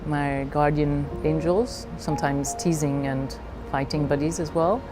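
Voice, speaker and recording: Middle aged woman from belgium talking personally, low voice, halting and reflective, music playing behind her. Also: fairly even intonation. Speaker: leadership coach.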